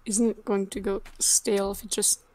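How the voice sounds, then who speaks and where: A woman's voice: medium pitch, little pitch movement, normal speed, dark tone, no echo, lightly acted. A woman playing a stubborn, sulky, teasing zombie girl in an improvised roleplay game, with short, blunt replies. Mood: questioning.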